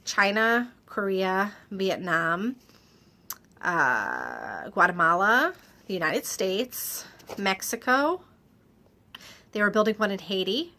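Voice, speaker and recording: A woman with a stuffy, slightly nasal voice, reading out viewer questions and answering them in a chatty video, often pausing with "um". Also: medium pitch, sharp tone. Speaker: direct-sales clothing consultant. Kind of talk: casual Q&A vlog.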